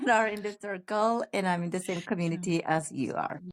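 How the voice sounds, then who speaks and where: Earnest, reflective woman guest with Spanish as her first language. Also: lowish voice. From Paraguay. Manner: reflective, earnest, pauses with fillers. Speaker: podcast guest. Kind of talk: casual conversational interview.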